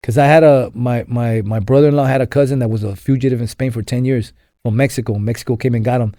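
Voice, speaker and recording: A man's voice: mid-range voice, steady tone, fast talker, dark tone, dry recording, studio quality. A young man from Los Angeles, a podcast guest telling his story in a rambling way, full of fillers and restarts. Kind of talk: casual storytelling interview.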